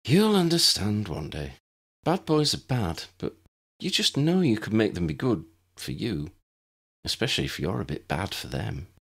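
A man's voice: fairly low pitch, big pitch swings, moderate pace, creaky, breathy edge, full character voice. A man voicing a breezy, worldly teenage girl at a wizard school in a storybook, dreamy and knowing.

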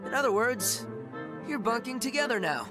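Game role: young male commander, easygoing yet decisive, high lively voice, informal orders. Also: moderate pace, music in the background. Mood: measured. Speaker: video game character. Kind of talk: English game voice acting.

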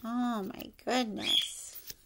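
A middle-aged woman reads a rhyming picture book aloud to young children in an expressive sing-song way, pausing to ask them questions. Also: fairly high pitch, noisy background. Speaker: preschool story-time teacher reading aloud. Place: living room at home, indoors.

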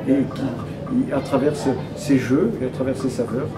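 A man in his fifties explaining in an interview, measured and hesitant, often pausing mid-phrase. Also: medium pitch, fairly even intonation. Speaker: restaurant owner interviewee. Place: indoor restaurant room. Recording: loud ambient noise behind.

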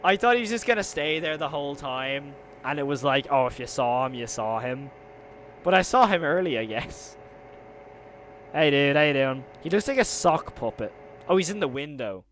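A man's voice: fairly high pitch, lively intonation, moderate pace, fairly bright tone, a little hiss or hum. A young adult man reacting to a video in a casual, enthusiastic, slangy way, talking close into a microphone in a bedroom studio. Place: small bedroom studio, indoors. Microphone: close boom-arm microphone.

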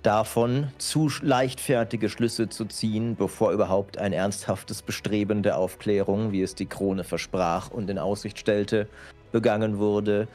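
A man's voice: lowish voice, steady tone, a little mellow, faint music behind, close to an everyday voice. A man playing a sober, calculating lord who advises the king in a fantasy role-play, speaking formally. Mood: measured, cautioning. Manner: stately, measured, formal.

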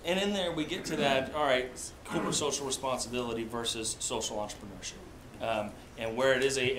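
Brief: middle-aged male moderator, mid pitch, roomy hall sound, faint ambience. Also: noisy background. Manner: standing, walking among tables, summarizing answers. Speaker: panel moderator. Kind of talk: moderating a group discussion. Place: large meeting room with round tables. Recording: echoey room.

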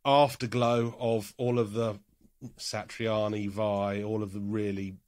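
A man's voice: on the low side, level tone, unhurried, darkish tone, dry recording. A man co-hosting a casual podcast, still stuffy and congested from a bad cold. He chats and jokes with easy fillers, close and dry to the mic. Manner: chatty, joking, trails off with fillers. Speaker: podcast co-host. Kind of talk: casual chat.

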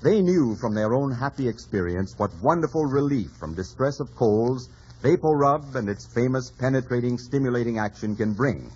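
A man's voice: medium pitch, expressive, moderate pace, a little mellow, husky. A man announcing and reading an advertisement in old radio style, as an emphatic, folksy salesman. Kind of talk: 1940s radio advertising read. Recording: dull old radio recording.

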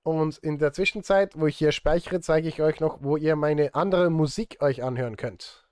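Playful man streaming, slightly high dry voice. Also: normal speed, a little mellow, clean tone, dry recording. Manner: playful, talking to chat and himself. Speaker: music streamer and composer. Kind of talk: casual livestream chat while working. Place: small indoor room, livestream setup.

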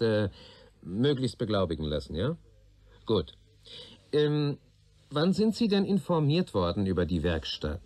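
A man's voice: fairly low pitch, a little mellow, exaggerated voice. An actor playing a sardonic, world-weary male police detective who probes and questions in a radio play. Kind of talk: radio drama dialogue.

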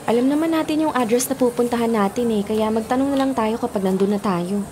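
A young Filipina actress playing a determined college student and persistent daughter in a radio drama. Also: female voice, on the high side, fast talker, warm tone, lightly acted. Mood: practical, determined. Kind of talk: Tagalog radio drama acting. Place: small padded radio studio. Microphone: close studio condenser with pop filter.

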